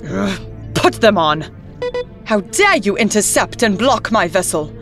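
A woman's voice: medium pitch, very animated, a little slow, over music. A woman playing a defiant, imperious alien usurper who commands a warship in an audio drama. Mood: defiant, haughty.

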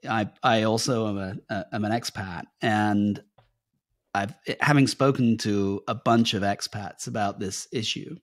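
Middle-aged British man with a US-softened accent, podcast host, measured and thoughtful, lowish voice, dry headset sound. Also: steady tone, dry recording. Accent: British, softened by years in the US. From United Kingdom. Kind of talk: interview hosting. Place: home office with bookshelves, video call.

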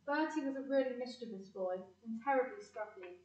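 A woman playing a fond, lively narrator recalling childhood in a community audio play. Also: on the high side, a little fast, muffled recording, close to an everyday voice. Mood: fond storytelling. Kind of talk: scripted storytelling in audio play. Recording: echoey room, slightly distant mic.

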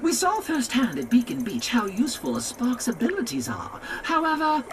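A man voicing a chatty, fussy, excitable male robot companion in a video game, theatrical and wry. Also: extremely high pitch, normal speed, heavily acted. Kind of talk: animated video game acting. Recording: game audio with clear noise.

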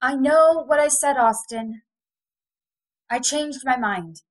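A woman's voice: high-pitched, slow pace, rough recording. A woman playing a reluctant, earnest young mother in an audio drama.

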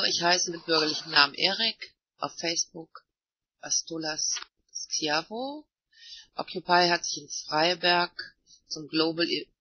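A man's voice: on the high side, slightly rough, no echo. Guy running an online chat meeting, reads messages out plainly, muffled sound. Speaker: meeting moderator. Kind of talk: moderating an online voice chat, reading messages aloud.

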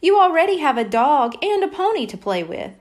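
Woman acting storybook mother, affectionate, firm, patient, high-pitched, expressive. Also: fairly quick. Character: mother of young boys, firm but affectionate.

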